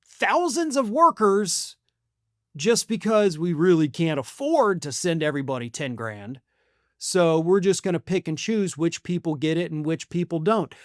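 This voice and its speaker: A man's voice: high-pitched, no room sound, studio quality. An American man delivering opinionated news commentary as a host, in an emphatic, sarcastic and colloquial way. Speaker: political commentator and channel host.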